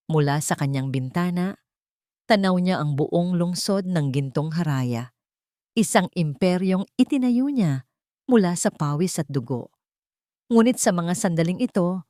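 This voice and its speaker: A woman's voice: low voice, darkish tone, no echo, studio quality. A woman reading a dramatic scripted story as an audiobook narrator.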